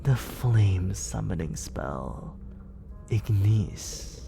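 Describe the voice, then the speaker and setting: A man voicing a scheming villain mentor in an ASMR roleplay, speaking in a slow, coaxing, hushed whisper close to a studio mic. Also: fairly low pitch, expressive, gravelly, over music. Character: soft-spoken male villain mentor, scheming. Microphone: close studio condenser.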